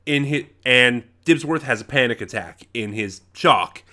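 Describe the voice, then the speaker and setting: A man with a middling pitch and a bright, forceful tone talks as a casual reviewer. He gives his opinions off the cuff, rambling and halting, often stopping to correct himself. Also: expressive. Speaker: reviewer, channel host. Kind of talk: casual unscripted audio drama review.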